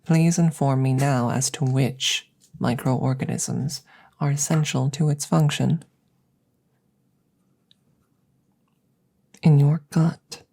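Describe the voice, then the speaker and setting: A woman voicing a curious, cautious alien scientist in a soft, hushed ASMR roleplay, speaking formally and deliberately and slipping into whispers. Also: very deep voice, fairly even intonation, creaky, full character voice. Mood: calm, matter-of-fact. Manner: measured, formal, deliberate phrasing.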